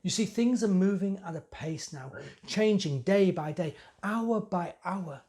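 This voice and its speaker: A man's voice: high-pitched, expressive, measured pace, a little mellow, voice pushed up. Man playing a rattled, scheming young temple priest. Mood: flustered, confiding. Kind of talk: dramatic monologue.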